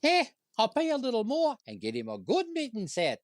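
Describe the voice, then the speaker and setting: A man's voice: very high-pitched, huge pitch swings, brisk, no room sound, clean studio sound, full character voice. An actor playing a cheerful, chatty family man out shopping in a radio comedy, with quaint mangled phrasing. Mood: jolly, chatty. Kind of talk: old-time radio comedy acting.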